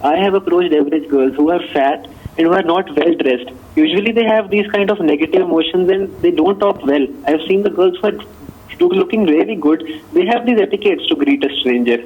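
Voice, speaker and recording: A man's voice: high-pitched, a little fast, husky. A man in his early twenties with an Indian accent, chatting casually on a phone call, switching between English and Hindi. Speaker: caller. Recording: tinny narrowband phone line.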